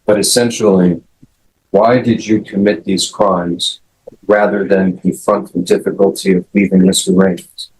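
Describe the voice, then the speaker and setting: A man questioning a witness as a lawyer in court, formal, measured and deliberate. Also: low voice, level tone, a little mellow, slightly rough, poor audio.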